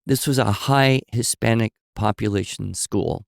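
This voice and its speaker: A man co-hosting a podcast, telling stories in a relaxed conversational way and explaining with examples and asides. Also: lowish voice, measured pace, vocal fry, no room sound, clean studio sound. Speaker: podcast co-host. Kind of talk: conversational storytelling.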